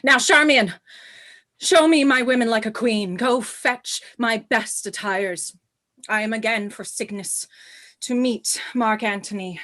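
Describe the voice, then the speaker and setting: A woman acts a proud, doomed queen in a radio play. Her voice sits fairly high and moves very slowly, with stately, declamatory verse that is both grand and tender. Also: a bit bright. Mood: proud, defiant.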